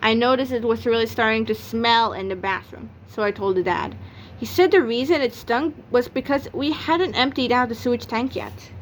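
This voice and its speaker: Female narrator for a children's comic novel read-aloud, voice a little high, recorded with some background noise. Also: normal speed, rough recording. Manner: acts out sound effects and exclamations. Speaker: book narrator.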